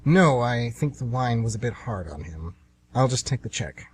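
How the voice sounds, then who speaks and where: A man's voice: medium pitch, animated, moderate pace, slight background noise, lightly acted. A man playing a polite, understated hitman who poses as a friendly tourist in an amateur radio play. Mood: calm, courteous. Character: smooth, rueful male hitman posing as friendly tourist.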